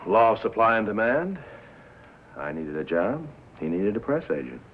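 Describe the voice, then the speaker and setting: A man's voice: lowish voice, sing-song, normal speed. An American man acting as a cynical, worldly press agent in a 1950s film drama, with a wry, sardonic manner. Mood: sardonic, bitter. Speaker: actor in a feature film. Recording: muffled vintage film soundtrack, faint noise.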